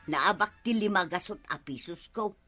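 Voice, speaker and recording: A woman's voice: on the low side, moderate pace, loud music behind the voice, lightly acted. A woman with an Ilocano accent playing a flustered village fee collector who keeps protesting, in an Ilocano radio comedy drama.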